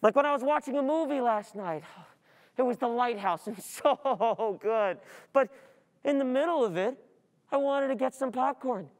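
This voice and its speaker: A young woman playing an overwhelmed, easily distracted student in a theatrical stage monologue, speaking in a halting, confessional way. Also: a little high, a little mellow, lightly acted. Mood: restless, frustrated. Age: young adult. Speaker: stage actor.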